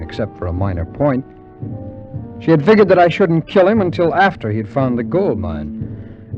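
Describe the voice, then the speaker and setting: Actor as a sardonic, dramatically swinging radio adventurer. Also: male voice, mid-range voice, close to an everyday voice. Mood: sardonic, wry. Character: cynical, world-weary male adventurer named Johnny narrating his tale. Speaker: narrator and lead character. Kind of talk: 1950s radio drama narration. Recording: muffled vintage 1950s radio recording, music behind.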